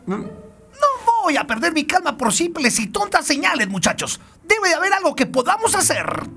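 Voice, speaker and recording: A man voicing a flamboyant, excitable young soccer player with dramatic, slangy delivery in a cartoon. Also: very high voice, moderate pace, gravelly, faint music behind, exaggerated voice. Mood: agitated.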